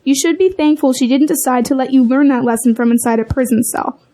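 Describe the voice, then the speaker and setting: An actress playing a stern, unsympathetic defense lawyer in an audio drama, speaking firmly and in an admonishing way. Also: female voice, sits high, fairly even intonation, a little fast, mellow, natural voice. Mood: defensive, blunt. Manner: firm, admonishing.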